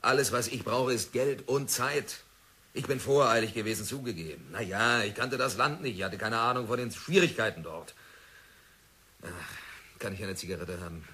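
A man's voice: lowish voice, normal speed, sharp tone, breathy edge. An actor playing a stubborn, obsessed male diamond prospector in an old radio play, self-assured and sardonic. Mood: insistent. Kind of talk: 1960s radio drama acting.

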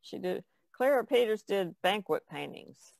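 Creaky-voiced woman in casual conversation. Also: mid-range voice, expressive, a little slow, a little mellow, dry recording. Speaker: podcast co-host, artist.

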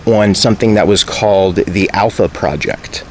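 A man's voice: lowish voice, normal speed. An American man in his thirties, talking casually and a little hesitantly as a caller on the phone, in an agreeable way. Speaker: caller making a records request. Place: outdoors in front of leafy greenery. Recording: faint outdoor background noise.

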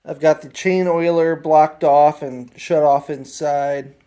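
A man's voice: fairly high pitch, fairly even intonation, takes it slowly, warm tone. Man talking through motorcycle repairs. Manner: plain, matter-of-fact, with frequent fillers and self-corrections. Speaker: motorcycle restorer filming his build. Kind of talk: hands-on workshop explanation. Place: home garage workshop.

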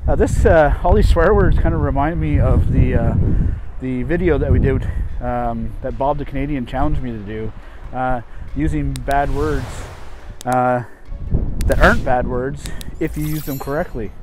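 A man's voice: medium pitch, moderate pace, noisy background. A man in his forties teaching English in a casual, explanatory way through scripted conversation.

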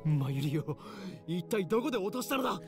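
A man's voice: sits high, big pitch swings, fast talker, gravelly, full character voice. A man voicing an arrogant young self-styled mad scientist in a Japanese visual novel, bombastic and dramatic. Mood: scheming. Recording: game music behind the voice.

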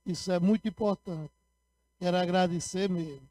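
Middle-aged councilman, sore throat, high and monotone, repetitive shout-outs, dry handheld mic. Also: normal speed, dry recording. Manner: shout-outs to rural communities, repeats phrases, gestures widely. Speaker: city councilman. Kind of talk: council floor speech. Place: municipal council chamber, indoors. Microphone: handheld microphone.

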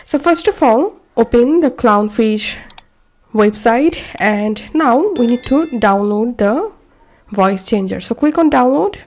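A woman narrating a scripted software tutorial, giving step-by-step instructions. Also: fairly high pitch, animated, slow.

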